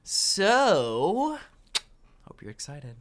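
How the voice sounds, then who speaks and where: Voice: mid-range voice, wildly swooping pitch, very slow. A video game playthrough host talking casually while recording commentary. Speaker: gameplay video host reading game dialogue aloud. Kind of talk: casual let's play commentary with voiced dialogue. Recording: game music under the voice. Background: game music.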